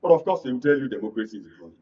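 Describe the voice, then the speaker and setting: Husky Nigerian man, halting panel speaker. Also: mid-range voice, lively intonation, dark tone. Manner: halting, repeats words for emphasis. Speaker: studio panel guest. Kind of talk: political panel discussion. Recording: dull, slightly distant studio sound.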